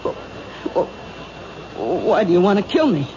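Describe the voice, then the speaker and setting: A woman's voice: medium pitch, very animated, measured pace, background noise. A woman playing a terrified, cornered victim in a radio drama, frantic and stammering as she pleads. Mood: frightened pleading. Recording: muffled old radio broadcast.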